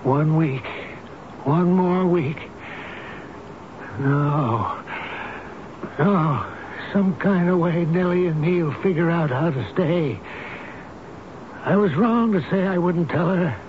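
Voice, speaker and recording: An actor playing a gentle, loyal old elevator operator in a radio drama, speaking in a halting, earnest, folksy and tender way. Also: male voice, on the high side. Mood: tender, wistful. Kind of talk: 1970s radio drama acting. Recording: noisy muffled old radio broadcast recording.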